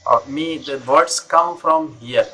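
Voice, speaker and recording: A middle-aged man with a North Indian accent speaks in a bright, fairly high voice. He is an English teacher in a casual video-call chat, earnest and prone to repeating his points. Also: takes it slowly, low-quality recording. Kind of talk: casual conversation.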